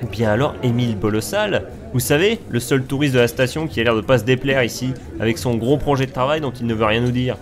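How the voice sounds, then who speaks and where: A man's voice: mid-range voice, fast talker, hardly any pauses, a crowd in the background, natural voice. A man playing a wry, suspicious holidaymaker with a dry, sarcastic manner in a comic audio drama. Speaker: amateur voice actor in an audio series.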